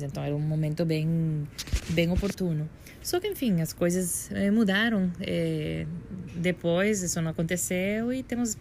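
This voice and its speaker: A woman's voice: deep voice, gravelly, warm tone, a little hiss or hum. A middle-aged woman with a Puerto Rican accent, answering as a guest in a podcast interview, reflective and searching for words as she goes. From Puerto Rico. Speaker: guest astrophysicist.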